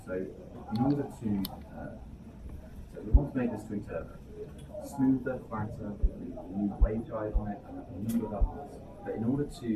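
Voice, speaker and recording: Guy w/ lowish voice explaining a product step by step, far from the mic, noisy room. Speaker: product presenter for a loudspeaker maker. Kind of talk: informal technical product presentation.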